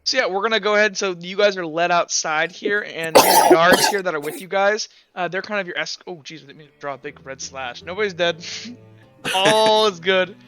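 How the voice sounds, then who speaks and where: A man running a tabletop game, improvising narration in a halting, casual way. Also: sits high, over music. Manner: halting, casual, full of "like" and "kinda". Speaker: game master.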